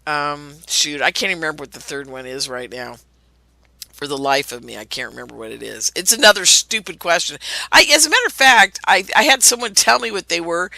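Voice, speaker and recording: Woman talk host, hoarse and gravelly, sharp and tense, indignant ranting delivery. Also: fairly low pitch, dry recording. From California. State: hoarse, losing her voice. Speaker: radio show host. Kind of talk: ranting monologue to listeners.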